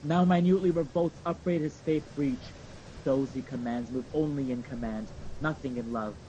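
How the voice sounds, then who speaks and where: A man acting a scornful, resolute rebel lord in a Shakespearean radio play. His voice is mellow and dark, mid-pitched. The recording is dull and lo-fi. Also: moderate pace, a little hiss or hum, close to an everyday voice. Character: resolute rebel Scottish nobleman, scornful of tyrant.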